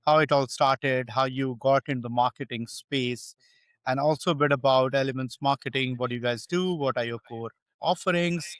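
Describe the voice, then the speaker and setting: A man's voice: medium pitch, moderate pace, fairly bright tone, dry recording. A man hosting a podcast interview in a conversational way, introducing and questioning a guest. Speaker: podcast host.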